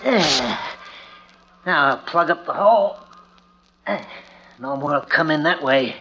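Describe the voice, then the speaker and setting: A man playing a loyal assistant in a 1940s radio drama, matter-of-fact and steady. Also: fairly high pitch, slow, dull audio, full character voice. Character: loyal male handyman and assistant.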